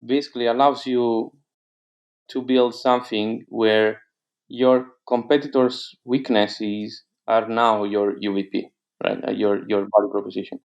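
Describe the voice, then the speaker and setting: A man's voice: on the low side, flat delivery, measured pace, gravelly, darkish tone, muffled recording. A man whose first language is Italian, speaking with an Italian accent in a casual interview, hesitant with many fillers and restarts. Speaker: guest entrepreneur.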